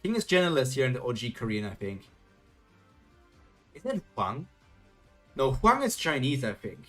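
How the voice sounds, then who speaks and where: A man's voice: mid-range voice, big pitch swings, normal speed, a bit bright, faint music behind. A man chatting casually while reacting to a webtoon on a live stream and reading chat. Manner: chatty, self-questioning, reads chat aloud.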